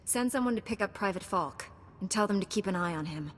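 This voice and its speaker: Jedi knight role, video game: female actor, medium pitch, composed, firm, dry, roomy reverb. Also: animated, brisk, slight background noise, lightly acted. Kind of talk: video game cutscene acting. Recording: reverberant game audio, faint music.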